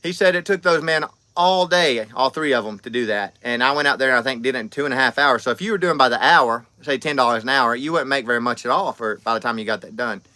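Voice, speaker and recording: A man in his thirties talks on a livestream in a rambling, folksy way, often trailing off and restarting. His voice is bright and smooth. The sound is dry and close, with no room echo. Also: mid-range voice, quick pace, hardly any pauses. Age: 30s. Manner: rambling, friendly, folksy with many fillers and restarts. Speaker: livestream host. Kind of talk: casual livestream chat reading comments.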